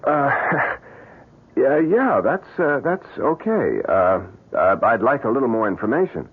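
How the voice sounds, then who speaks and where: A man's voice: medium pitch, sing-song, takes it slowly, breathy edge, exaggerated voice. A man playing a glib, greedy agency schemer in an old radio drama, slick and persuasive with hesitant hems and haws. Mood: careful, probing. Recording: muffled, hissy old radio broadcast.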